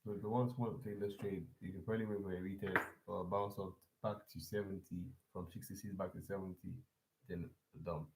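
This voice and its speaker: A man's voice: low-pitched, fairly even intonation, brisk, a little hiss or hum. A man live-streaming a forex chart breakdown and talking loosely to his chat, with restarts and repeats. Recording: echoey room.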